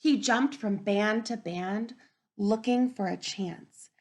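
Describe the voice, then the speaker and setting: A woman teacher reading a picture book aloud to young children, gentle and encouraging, often dropping to a soft whisper. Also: mid-range voice, takes it slowly. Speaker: kindergarten teacher. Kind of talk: read-aloud storybook lesson for young children.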